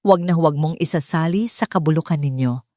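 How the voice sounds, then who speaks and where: Protective rich gentleman , read by a female storyteller, stern, firm, closely dry-recorded. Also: low-pitched, fairly quick, dry recording, natural voice. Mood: stern anger. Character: stern, protective wealthy man, controlled anger.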